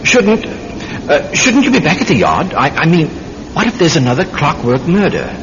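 An actor playing an eager, inquisitive young nephew in an old-time radio drama, with a chatty manner. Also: male voice, on the high side, wildly swooping pitch, normal speed. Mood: eager, curious. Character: eager young nephew tagging along with his uncle. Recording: muffled old radio recording with clear noise.

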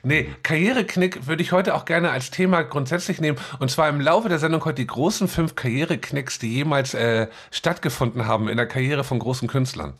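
A man hosts a casual podcast. His mid-pitched voice rambles along colloquially, as if talking among friends. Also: fairly quick, hardly any pauses, a bit bright. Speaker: podcast host. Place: at home, sharing one microphone with a guest.

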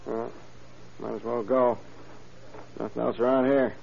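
A man's voice: medium pitch, level tone, measured pace, vocal fry, darkish tone. A man playing an earnest, moralizing small-town deputy in an old-time radio crime drama, folksy and a little grumbling. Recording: dull, noisy old radio recording.

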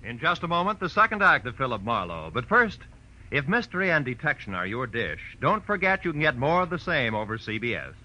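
A male American announcer from 1940s radio. His voice is very bright and forceful, with lively rises and falls and a polished, emphatic scripted delivery. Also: medium pitch, a little fast, faint music behind, poor audio.

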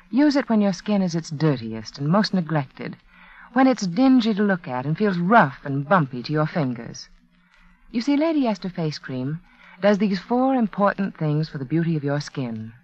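A woman spokeswoman reading an instructive, emphatic 1940s radio advertisement. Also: fairly low pitch. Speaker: beauty authority, sponsor spokeswoman. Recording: muffled old 1940s radio broadcast.

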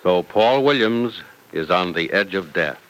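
A man's voice: low voice, lively intonation, a little slow, fairly bright tone, low-quality recording. A man working as an old-time radio announcer, reading scripted copy with emphatic, polished salesmanship.

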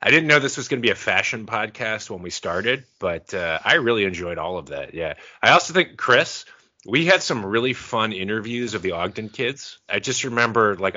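A man's voice: on the low side, pushed and bright. A man co-hosting a podcast, chatting casually and joking around. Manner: teasing, joking. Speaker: podcast co-host. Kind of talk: casual chat. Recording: dull lo-fi sound.

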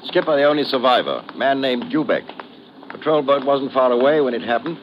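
Man acting a animated, clipped colonial police officer. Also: medium pitch, fairly quick, natural voice. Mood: brisk, matter-of-fact. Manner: clipped, matter-of-fact. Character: brisk, affable male colonial police officer. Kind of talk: 1950s radio drama acting. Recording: muffled old radio recording, clear noise.

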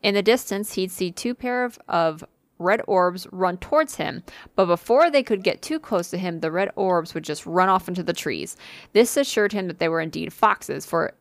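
A woman's voice: on the low side, animated, moderate pace, dry recording. A woman casually reading a spooky listener story aloud on a podcast, with fillers and asides. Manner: reads with occasional fillers and asides.

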